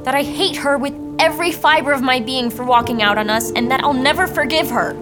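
A woman's voice: sits high, brisk, music in the background, natural voice. A woman playing a headstrong, indignant teenage girl in an audio drama, emotional and questioning. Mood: bitter.

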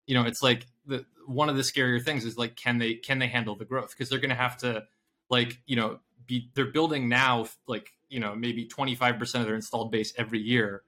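A man's voice: medium pitch, level tone, a little fast, pushed and bright. A man co-hosting a casual investing podcast, rambling with lots of fillers and laughing often. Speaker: podcast co-host.

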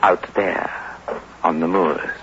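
An actor playing a courteous, sinister host of a 1960s radio horror series, narrating in a slow, theatrical, ominous way. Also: male voice, low-pitched, fairly even intonation, noisy background. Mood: grave suspense. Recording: muffled old radio recording with music.

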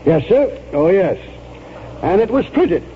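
An actor playing a pompous, stubborn old retired colonel in an oratorical 1940s radio style. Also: male voice, sits high, sing-song, takes it slowly, heavily acted. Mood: grandiose, combative. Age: older. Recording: tinny, noisy 1940s radio recording.

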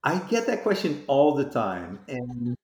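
A man's voice: medium pitch, huge pitch swings, a little slow, reverberant. A middle-aged man from Portugal, a sales executive being interviewed, talking in a reflective, rambling way. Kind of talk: conversational interview.

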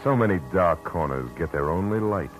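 An actor playing a weary hard-boiled private eye, narrating in low, reflective first person in a 1940s radio drama. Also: male voice, animated, normal speed, low-quality recording. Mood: brooding. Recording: old radio broadcast with music.

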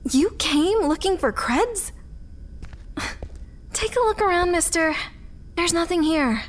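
A hard-up mother from a futuristic slum, pitched high. She is blunt and guarded, with a bitter, sardonic edge suited to audio fiction. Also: expressive, slow, exaggerated voice. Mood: bitter, angry.

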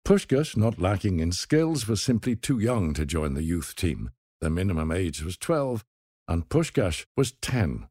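A man reading a scripted documentary narration in a measured, dramatic storytelling manner. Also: sits low, big pitch swings, warm tone, dry recording, studio quality.

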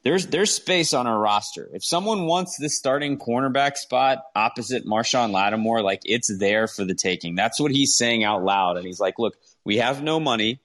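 A man's voice: mid-range voice, expressive, normal speed, hardly any pauses, a bit bright. A man co-hosting a sports podcast, giving opinions casually and emphatically and repeating his points.

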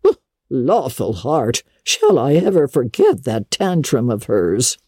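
A woman's voice: very deep voice, wildly swooping pitch, slow, dark tone, husky, dry recording, studio quality, full character voice. A woman voicing a blunt, opinionated older country woman with folksy, emphatic speech in a storybook reading.

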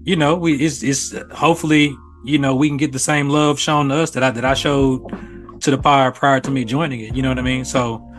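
An American man talking casually and thoughtfully on a podcast, explaining himself at length in measured phrases. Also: medium pitch, fairly even intonation, soft background music. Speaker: podcast co-host appearing as guest. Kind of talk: casual reflective podcast conversation.

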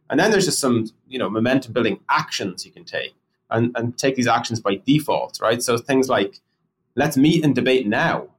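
Man, mid-pitched voice, casual podcast chat, animated, rhetorical questions, faint noise behind. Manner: animated, rhetorical questions, acts out quoted colleagues. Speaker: podcast co-host discussing product.

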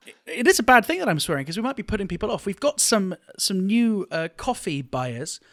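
Animated male podcast co-host, higher-pitched voice. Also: brisk, no room sound, studio quality. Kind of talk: casual podcast banter.

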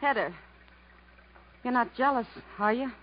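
A woman's voice: on the high side, unhurried. An actress playing a poised, world-weary young woman in a 1950s radio drama. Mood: cool, challenging. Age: young adult. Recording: dull, noisy vintage radio recording.

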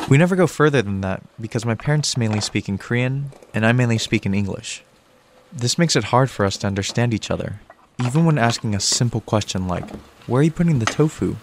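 A man's voice: mid-range voice, noisy background. A young American man reading a scripted personal narration for a radio piece. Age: young adult. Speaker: radio intern reporter.

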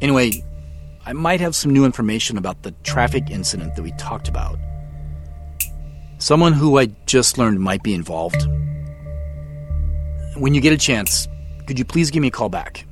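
A man's voice: mid-range voice, expressive, moderate pace, pauses often, music in the background, lightly acted. An actor playing a dry, guarded man in an audio drama. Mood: guarded, polite. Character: dry, sardonic man checking messages and leaving one.

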